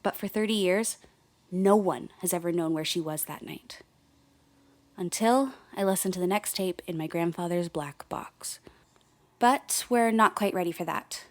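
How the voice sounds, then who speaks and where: A woman's voice: lowish voice, measured pace, natural voice. A woman playing a blunt, sardonic woman in her thirties giving candid first-person narration in a radio play. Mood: reflective. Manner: frank, sardonic, swears.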